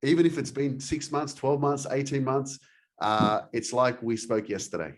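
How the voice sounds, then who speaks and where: A man's voice: medium pitch, normal speed. A man hosting a motivational podcast, enthusiastic and encouraging, talking with many fillers. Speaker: podcast host. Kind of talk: energetic podcast interview.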